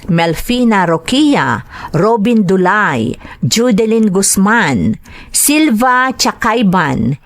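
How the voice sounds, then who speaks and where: A woman's voice: mid-range voice, no echo. A woman with an Ilocano accent hosting a podcast, reading greetings and letters as a scripted narrator.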